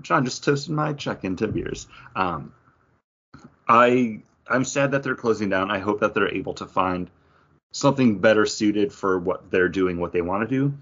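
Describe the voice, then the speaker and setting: A man with a fairly low voice talks as a podcast co-host. His delivery is casual and conversational, wandering a little as he goes. The recording is slightly dull and lo-fi. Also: a bit bright, breathy edge. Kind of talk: casual chat, craft beer review. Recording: dull, lo-fi audio.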